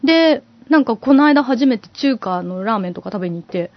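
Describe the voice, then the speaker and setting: A woman's voice: fairly high pitch, animated, a little fast, no room sound, soft background music. A woman chatting casually among friends as a podcast co-host, explaining things in an eager, chatty way. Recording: dry, slightly dull audio.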